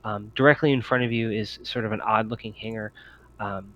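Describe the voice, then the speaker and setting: A man narrating a tabletop game as game master, describing scenes in a halting way. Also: fairly low pitch, fairly even intonation, fairly bright tone, muffled recording.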